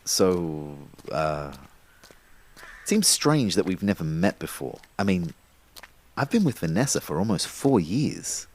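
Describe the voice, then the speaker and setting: A man's voice: low voice, big pitch swings, slow pace, a little mellow, heavily acted. An actor playing a wry, uneasy young man who narrates his own afterlife in an audio drama, speaking in a hesitant, conversational way. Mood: awkward, wry. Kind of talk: first-person audio drama narration and dialogue.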